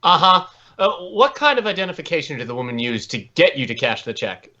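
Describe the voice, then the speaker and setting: A man's voice: a little high, very animated, fairly quick, sharp tone. An actor playing a polite, businesslike male police detective in a 1950s radio drama. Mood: polite, inquiring. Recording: dull vintage radio recording.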